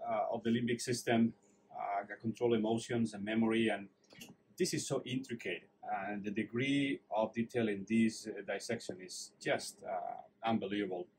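A middle-aged man, a neurosurgeon leading a casual tour of an anatomy lab, praising the work in an enthusiastic, halting way with many fillers. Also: fairly low pitch, fairly even intonation, darkish tone, slight background noise, low-quality recording.

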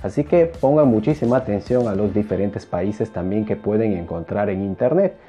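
A young man teaching a language lesson to camera in an emphatic, animated manner, repeating phrases. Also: lowish voice, normal speed, faint music behind. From Peru. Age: young adult. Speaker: Spanish teacher. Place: small indoor room, plain wall. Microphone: clip-on lapel mic.

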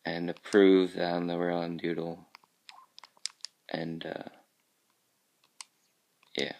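A man walking through how he built his game levels, talking casually with a groggy, raspy voice because he has just woken up, often trailing off into quiet mumbles. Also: sits low, completely flat delivery, slow, rough recording. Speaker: level creator showing his game work. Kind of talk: casual screen-capture walkthrough.

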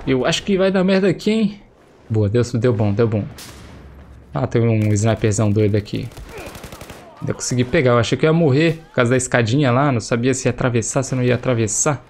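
A man's voice: mid-range voice, brisk, a little mellow, a little hiss or hum. Young Brazilian man with a cold. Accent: Brazilian Portuguese. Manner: chatty, slangy, exclaims at the action. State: recovering from a cold, voice slightly affected. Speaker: gameplay streamer. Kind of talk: casual gameplay commentary. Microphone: headset. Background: faint game gunfire and explosions.

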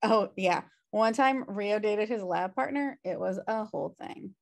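A woman's voice: mid-range voice, a little slow, vocal fry, a little mellow. A woman reading the part of a sardonic, wisecracking slacker sister in a sitcom table read over a video call. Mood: exasperated. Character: flippant, sardonic slacker sister, adult twin. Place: indoor room at home on video call.

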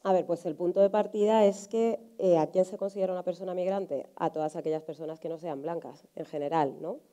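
A woman's voice: on the low side, brisk, warm tone. A woman in her thirties with a Madrid Spanish accent, explaining things conversationally on a panel, often checking in with tag questions. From Spain, Madrid area. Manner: explanatory, frequent rhetorical tags seeking agreement. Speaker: journalist, panel speaker. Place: indoor auditorium stage, seated on a panel.

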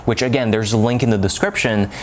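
Young man, lowish voice, lots of "uh" and "you know", lapel mic, faint room hum. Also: steady tone, fast talker. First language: French. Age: young adult. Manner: talks with his hands, many fillers and restarts. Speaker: language-learning reviewer. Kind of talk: casual product review to camera. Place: home room with bookshelves and a lamp.

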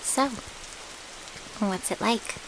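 A woman playing a cheeky, loyal vampire's servant in an intimate ASMR audio roleplay, with a casual, teasing way of talking. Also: drawn-out words. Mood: teasing, smug. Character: cheeky, flirty, loyal female thrall of a vampire. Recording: hissy, rain-like background noise.

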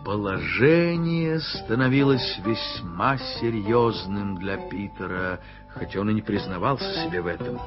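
A man narrating a 1980s radio play in a measured storytelling manner. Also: lowish voice, expressive. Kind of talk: scripted literary narration. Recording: lo-fi archival radio recording with music.

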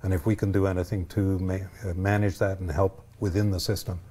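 A man in his sixties talks in a low-pitched, soft-edged voice. He explains things as an interview guest on a lapel mic in a TV studio. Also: flat delivery, a little fast. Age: 60s. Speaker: ski patrol leader, interview guest. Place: TV studio, indoors.

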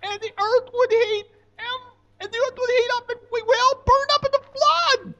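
A man voicing an anxious, dim, whiny puppet boy in squeaky, halting falsetto in a live comedy puppet show. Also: fairly even intonation, sharp tone, highs cut off, exaggerated voice. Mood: worried, explaining. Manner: slow, drawn-out, halting delivery.

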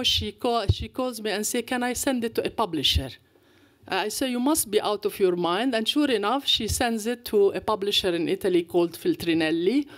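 Older palestinian woman telling stories in a lecture, lively, gesturing a lot at the podium mic. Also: medium pitch. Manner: anecdotal and animated, with gestures. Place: lecture hall. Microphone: podium microphone.

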